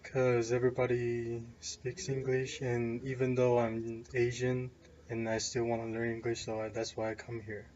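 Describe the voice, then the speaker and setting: Taiwanese male, 19, non-native English, flat delivery, stops and restarts, faint room ambience. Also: mid-range voice, moderate pace, muffled recording, slight background noise. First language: Chinese. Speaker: interviewee. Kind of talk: casual interview answers.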